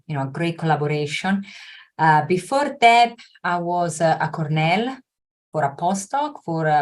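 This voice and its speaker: A middle-aged woman with an Italian accent, a researcher answering interview questions in a steady explanatory way, with frequent fillers. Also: low-pitched. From Italy. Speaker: viticulture researcher guest.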